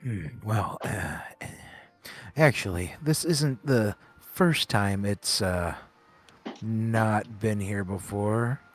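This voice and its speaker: A man's voice: on the low side, drawn-out words. A man voicing a shifty, evasive, teasing goat owner in an improvised comedic fan dub of a fantasy game, with stammering, repetitive delivery. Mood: sly, hesitant. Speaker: amateur live fan-dub voice actor. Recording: background music under the voice.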